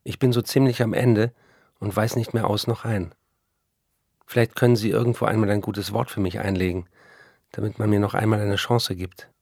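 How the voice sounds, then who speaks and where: A needy earnest writer in a radio play, reading letters, lowish voice, very dry. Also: male voice, fairly even intonation, normal speed, creaky, a little mellow, breathy edge, dry recording. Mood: desperate, pleading. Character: struggling male writer, pleading, effusive, self-doubting.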